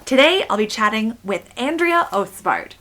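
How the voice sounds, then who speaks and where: A woman's voice: on the high side, very animated, moderate pace, pushed and bright. A woman hosting a creativity podcast, upbeat and encouraging as she introduces and questions her guest. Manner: upbeat and encouraging, laughs easily. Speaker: podcast host.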